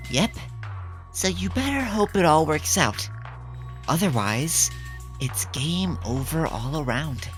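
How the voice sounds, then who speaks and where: A woman's voice: sits low, very animated, slow, music in the background, exaggerated voice. A woman playing a menacing, taunting villain who deals in cold threats in an audio drama. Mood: taunting menace.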